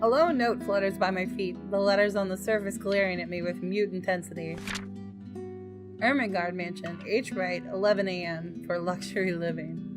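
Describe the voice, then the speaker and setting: Expressive woman doing game commentary over music. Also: lowish voice, moderate pace. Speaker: gaming video host. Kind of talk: let's play commentary and reading aloud.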